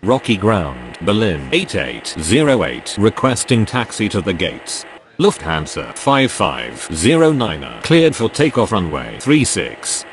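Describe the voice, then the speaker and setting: A man's voice: lowish voice, wildly swooping pitch, slow, slight background noise, full character voice. A man playing a businesslike airline radio voice, giving formal, clipped scripted phraseology. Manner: formal, procedural. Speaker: pilot and air traffic controller voices.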